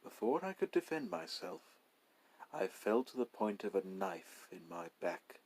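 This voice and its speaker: A man reading a literary short story aloud as narrator, in a measured, dramatic style. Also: on the low side, very animated, gravelly, a little mellow, breathy edge.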